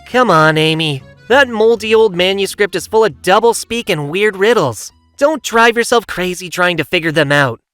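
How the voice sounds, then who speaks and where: Breezy, cocky animal hero, male actor, audio drama. High register, sharp bright tone. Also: animated, normal speed, hardly any pauses, clear, resonant tone, no room sound, faint music behind. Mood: dismissive. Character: cocky, breezy young blue hedgehog hero. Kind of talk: audio drama character acting.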